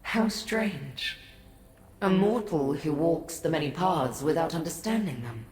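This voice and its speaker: Slow, low godlike woman, echoing. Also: animated, a little mellow. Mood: aloof, intrigued. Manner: measured, formal, condescending to mortals. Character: ancient aloof female godlike being, cryptic and haughty. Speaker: video game character. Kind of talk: scripted fantasy game dialogue. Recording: echoey, long reverb.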